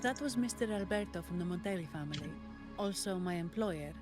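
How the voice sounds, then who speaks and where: A composed young female guard and guide voiced by an actress for a video game. Her voice sits a little low and stays measured and businesslike. She speaks formally and dutifully over clearly audible game music. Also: animated, close to an everyday voice. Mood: composed, informative. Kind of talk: scripted video game dialogue.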